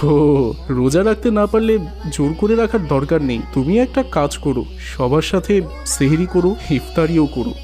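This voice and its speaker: A man's voice: fairly high pitch, animated, moderate pace, warm tone, soft background music, lightly acted. A man voicing an indulgent, sly young husband in a family cartoon, coaxing as he talks. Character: indulgent, scheming young husband soothing his wife. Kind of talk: Bengali family cartoon voice acting.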